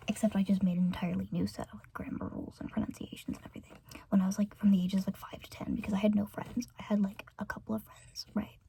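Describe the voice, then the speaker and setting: A woman's voice: medium pitch, little pitch movement, quick pace, vocal fry. An American woman whispering through a rambling, filler-filled ASMR chat, trailing off and giggling between thoughts. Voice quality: soft unvoiced whisper. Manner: meandering, filler-heavy, giggly chatter. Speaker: ASMR creator.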